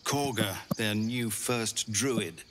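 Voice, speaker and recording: A man's voice: fairly low pitch, takes it slowly, a bit bright, slight background noise. A man playing a stern, weary, principled fighter in a fantasy video game, earnest and grave.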